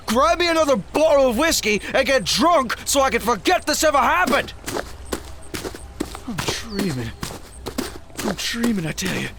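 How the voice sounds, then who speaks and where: A man playing an obnoxious, hard-drinking, foul-mouthed fighter in an audio drama, slangy and dazed, with drawn-out exclamations. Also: very high-pitched, bright, forceful tone, exaggerated voice. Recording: faint background noise.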